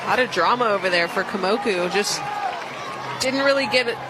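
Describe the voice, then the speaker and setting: A woman giving informal, conversational color commentary on a live softball radio broadcast. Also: medium pitch, fairly quick, over loud music, rough recording. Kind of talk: live sports analysis and booth chat. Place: outdoor softball stadium broadcast booth. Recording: loud crowd and outdoor stadium noise. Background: crowd noise.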